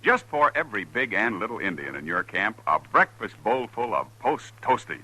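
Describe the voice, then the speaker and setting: Highly animated male radio announcer. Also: moderate pace, poor audio. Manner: emphatic, salesmanlike. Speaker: radio announcer and sponsor pitchman. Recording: husky old radio broadcast, faint music.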